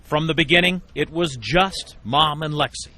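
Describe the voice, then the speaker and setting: A man's voice: a little high, normal speed, pushed and bright. A man narrating a scripted television news feature as a reporter, with emphatic storytelling delivery in short phrases.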